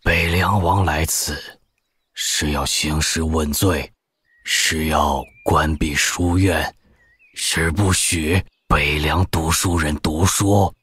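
An actor playing a stern, stubborn old academy master in an audio drama, raspy and grave as he admonishes. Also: rumbling low, steady tone, takes it slowly, fairly bright tone, no room sound, full character voice. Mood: confrontational. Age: older. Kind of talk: Chinese audio drama acting.

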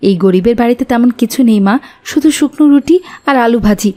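A woman's voice: a little high, fast, mellow, natural voice. A woman voicing an anxious, kindly, poor village mother in a cartoon. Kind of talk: cartoon voice acting.

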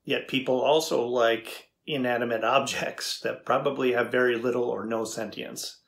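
Casting: middle-aged male researcher acted by a man, thoughtful and inquisitive, creaky husky voice. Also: medium pitch, steady tone, fairly bright tone. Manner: deliberate, inquisitive. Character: thoughtful, curious male AI researcher interviewing a machine. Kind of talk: dramatized transcript reading. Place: indoors before a studio backdrop.

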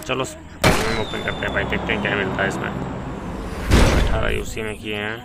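Low-voiced gamer over loud music. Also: male voice, level tone, fairly quick, gravelly. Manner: informal, chatty, addresses viewers as brothers. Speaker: gaming video creator. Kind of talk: casual gameplay commentary.

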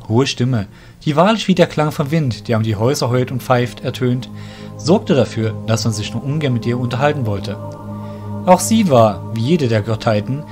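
A man narrating a fantasy parody audio drama in a measured, descriptive scripted reading. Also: medium pitch, a little mellow.

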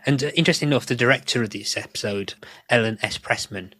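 A man's voice: medium pitch, fast, pushed and bright, slightly rough, no room sound. A British man hosting a podcast, casually discussing a television episode. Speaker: podcast host.

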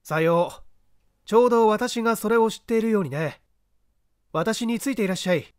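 Man acting a high-pitched, confident detective in an audiobook. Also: normal speed, clean tone, no room sound, studio quality, lightly acted. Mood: assured. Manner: polite, commanding, didactic. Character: coolly confident male detective, brisk, unruffled, explaining patiently. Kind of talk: audiobook character dialogue.

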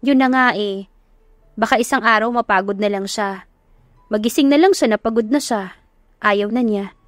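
Young romantic heroine acted by a woman: earnest, self-doubting, confiding, medium-pitched. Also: normal speed, no echo, soft background music, close to an everyday voice. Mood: sad, anxious. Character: lovestruck, doubtful young woman from humble background.

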